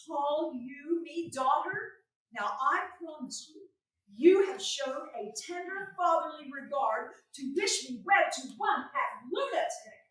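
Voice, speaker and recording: Defiant sharp-tongued bride acted by a woman, scornful, unhurried, stage comedy, very echoey, distant mic. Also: sits high, animated, exaggerated voice. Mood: indignant. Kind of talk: staged reading of Shakespearean comedy. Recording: very echoey room, far from mic.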